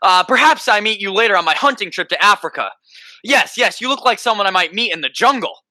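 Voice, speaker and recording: A man's voice: high-pitched, animated, a little fast, pushed and bright, no echo, heavily acted. A young man in an amateur radio play, playing a deluded, bombastic fellow who pompously believes he is the president. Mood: indignant. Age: young adult. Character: blustering deluded man who thinks himself President Roosevelt.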